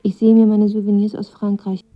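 A woman's voice: mid-range voice, monotone, a little fast, lo-fi sound, lightly acted. An actress playing a reserved, wistful young woman in a radio drama, understated and introspective. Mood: wistful, reflective. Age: young adult. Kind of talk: radio drama dialogue and letter reading.

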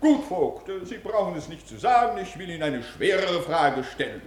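Radio drama: excitable prison director, very high voice, swooping delivery, pompous schoolmaster commands. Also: male voice, normal speed. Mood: lecturing, stern. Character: pompous, excitable prison director drilling inmates in war games. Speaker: actor in a radio play. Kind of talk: 1950s German radio play acting. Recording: roomy, faintly noisy 1950s radio recording.

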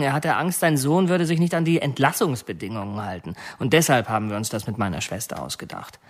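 A man's voice: medium pitch, dry recording, natural voice. An actor playing a tense male murder suspect who talks in a brooding, defensive way. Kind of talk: radio drama acting.

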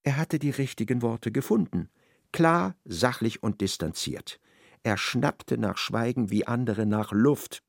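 A man narrating a literary radio drama in a measured, precise, dry manner. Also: mid-range voice, gravelly, no echo.

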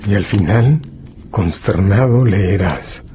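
A man delivering dramatic scripted narration in deliberate, measured phrases. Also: lowish voice, animated, background noise. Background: music.

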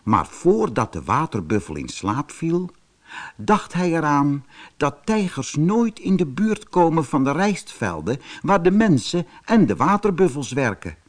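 A man's voice: a little high, sing-song, measured pace. Rustig vertellende man, levendige intonatie. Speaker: storyteller. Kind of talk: scripted fairy-tale narration for children.

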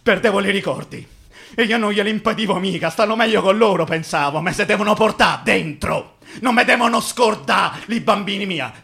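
A rambling Roman workman's ghost, high-voiced. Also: fairly quick, gravelly, sharp tone, exaggerated voice. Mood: anguished, lyrical. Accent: Roman Italian. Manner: rambling, colloquial, incantatory repetition. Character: dead male construction worker, bitter, tender, rambling ghost. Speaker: stage actor. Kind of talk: theatrical radio monologue in dialect.

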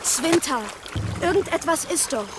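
A woman's voice: extremely high pitch, expressive, a little slow, background noise. An actress in a radio drama playing an anxious village girl who questions with distressed insistence. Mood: worried, probing.

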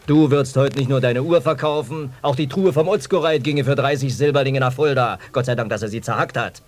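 An actor playing a loud, hot-headed village brigade leader in a German radio drama, accusatory and emphatic. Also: male voice, mid-range voice, fairly quick, natural voice. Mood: defiant, outraged.

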